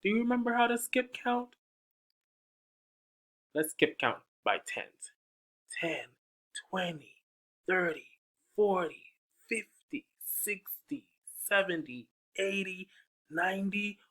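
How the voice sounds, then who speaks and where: Man, picture-book read-aloud for kids, very slow and sing-song, bright tone. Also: high voice, lively intonation. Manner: slow, sing-song, coaxing questions to young listeners. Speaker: teacher reading aloud.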